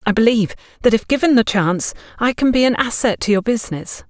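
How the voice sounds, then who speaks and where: A woman's voice: a little high, animated, a little fast, dry recording, clean studio sound, voice pushed up, natural voice. A woman voicing an earnest, polite young female job seeker in an audiobook, respectful and eager. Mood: hopeful, pleading.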